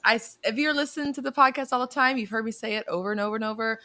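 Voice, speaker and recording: A woman's voice: on the high side, racing, bright, forceful tone. A young woman co-hosting a podcast, chatting casually and animatedly, laughing often and swearing now and then. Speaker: podcast co-host. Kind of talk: casual chat.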